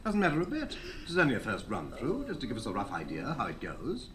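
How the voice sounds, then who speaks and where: An actor playing a blustering theatre producer running a rehearsal, bossy, emphatic and easily exasperated. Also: male voice, mid-range voice, wildly swooping pitch, quick pace, a bit bright, slight background noise. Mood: placating. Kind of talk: stage drama acting.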